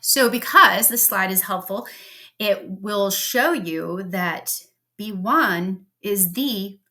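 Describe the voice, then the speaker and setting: A woman's voice: medium pitch, animated, slow, sharp tone. A woman from Pennsylvania giving a casual, conversational lecture on health science over slides, with frequent ums and likes. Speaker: health educator. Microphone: home recording.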